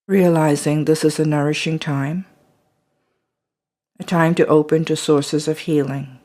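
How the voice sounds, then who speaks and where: A meditation guide leading a body scan, speaking slowly and softly and trailing into whispers at phrase ends. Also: mid-range voice, flat delivery.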